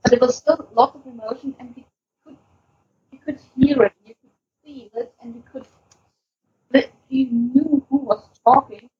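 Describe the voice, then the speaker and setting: A woman chatting casually as a podcast co-host, enthusiastic and speaking in halting phrases. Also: sits high, fairly even intonation, husky. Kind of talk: casual podcast chat, recommending audio stories. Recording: muffled, lo-fi voice recording.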